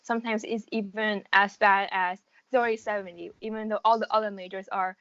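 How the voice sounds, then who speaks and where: A woman's voice: medium pitch, flat delivery, a little fast, fairly bright tone, no echo. Young American woman, dull lo-fi sound. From United States. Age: around 18 to 19. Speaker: interviewee, college student. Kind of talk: casual interview answers.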